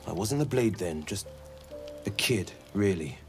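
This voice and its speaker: A vengeful young monster hunter from a fantasy video game. He speaks slowly and theatrically, brooding one moment and grandly heroic the next. A prominent musical score plays behind his voice. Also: low-pitched, lively intonation. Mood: bitter, brooding. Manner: theatrical, declamatory. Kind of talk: dramatic video game dialogue acting. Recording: loud music bed behind the voice.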